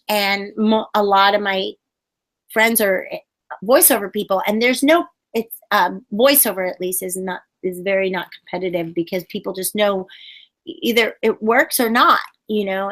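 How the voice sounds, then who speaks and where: A middle-aged woman puppeteer telling stories in a casual interview, bubbly and animated, acting out quotes in high voices.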